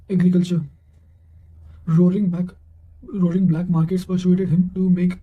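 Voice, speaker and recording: A man with a high-pitched voice and a very dark, soft-edged tone reads study material aloud. His intonation stays flat, and he reads haltingly, tripping over words and starting them again. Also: rough recording. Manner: halting reading with stumbles and repeated words. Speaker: narrator reading quotations for exam revision. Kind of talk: read-aloud of quotations and study notes.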